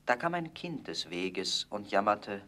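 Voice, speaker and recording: A man narrating a scripted fairy tale as a storyteller, with measured, expressive storybook phrasing. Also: on the low side.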